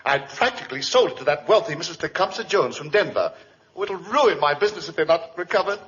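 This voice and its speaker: Flustered indignant british shopkeeper, self-important, old radio drama, muffled noisy recording. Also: male voice, fairly high pitch, expressive, quick pace, fairly bright tone. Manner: pompous, spluttering. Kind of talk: 1940s radio acting.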